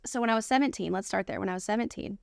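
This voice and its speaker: An American woman speaks as a guest in a casual interview. Her voice is smooth and clear at a middle pitch, and the sound is close and dry. She talks earnestly and repeats phrases for emphasis. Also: very fast, no room sound. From United States. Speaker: podcast guest. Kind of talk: casual personal interview about faith.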